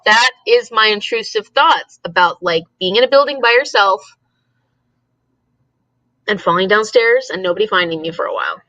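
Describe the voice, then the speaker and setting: A woman's voice: mid-range voice, normal speed, pushed and bright, no room sound, dull audio. A giggly woman co-hosting a casual livestream chat, repeating words for emphasis. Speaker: co-host of a live reading sprint stream.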